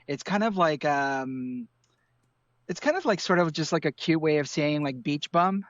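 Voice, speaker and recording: A man's voice: fairly high pitch, normal speed, dry recording, highs cut off. A man who grew up in Ecuador, a guest artist chatting casually with many fillers over a remote call. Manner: relaxed, rambling with many fillers. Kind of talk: casual interview chat. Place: home room in hot city with air conditioning.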